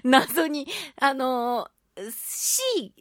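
High-pitched, animated woman radio host. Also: drawn-out words, no echo, studio quality. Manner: animated, acts out quoted remarks. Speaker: radio show host, voice actress. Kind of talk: casual radio talk, storytelling.

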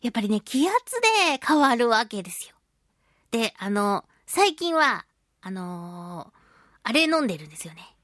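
Animated, high-pitched woman radio host. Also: moderate pace, no echo, clean studio sound. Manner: playful, animated, acting out sound effects. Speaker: voice actor hosting her own radio talk show. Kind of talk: casual solo radio chat.

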